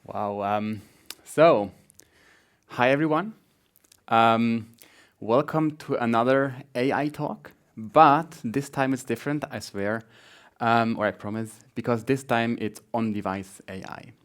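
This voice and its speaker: Male tech speaker, Swiss German accent, mid-range voice, informal and a little halting. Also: mid-range voice, clear, resonant tone. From Switzerland. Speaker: conference speaker, front-end developer. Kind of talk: conference tech talk.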